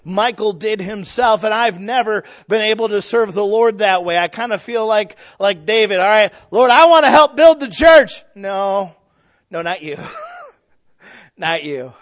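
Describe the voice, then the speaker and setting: A man's voice: high-pitched, a little slow. A man preaching a sermon in a folksy, humorous way, with emphatic rises and drops. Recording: slightly dull lo-fi sound.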